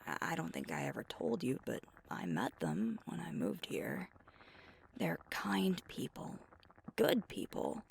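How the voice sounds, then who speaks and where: A woman playing a sweet, thoughtful alpha werewolf girlfriend in an intimate, soft-spoken ASMR roleplay, often breathy and musing. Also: rumbling low, huge pitch swings, a little slow, full character voice. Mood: hushed, uneasy. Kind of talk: ASMR roleplay, intimate one-sided conversation.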